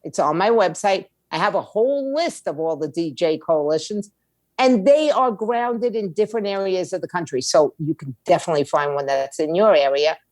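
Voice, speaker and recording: A woman's voice: lowish voice, animated, normal speed, darkish tone, dry recording. A middle-aged woman giving conversational advice as an interview guest, blunt and streetwise, making her points with rhetorical questions. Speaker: podcast guest, music attorney and indie label owner.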